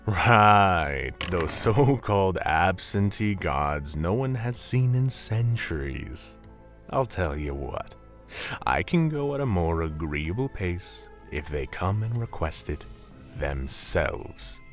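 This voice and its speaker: A man's voice: deep voice, lively intonation, takes it slowly, a bit bright, faint music behind, heavily acted. A man playing an arrogant demon prince in a roleplay drama, dry, haughty and sardonic. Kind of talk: Minecraft roleplay voice acting.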